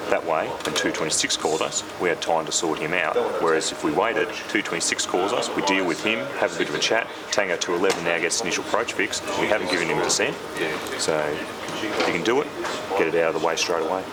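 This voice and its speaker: Deep-voiced Australian man, noisy background. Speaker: military air traffic controller. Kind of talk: career profile narration with radio phraseology.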